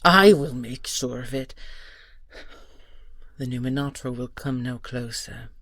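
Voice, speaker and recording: Proud ancient dragon guardian played by a woman, breathy and husky, slow intense delivery, close dry sound. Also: very deep voice, fairly bright tone, no room sound, heavily acted. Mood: defiant. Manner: intense, halting, often dropping to breathy whispers. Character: proud, wounded dragon queen, centuries-old guardian of a flame. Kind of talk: fantasy audio roleplay acting.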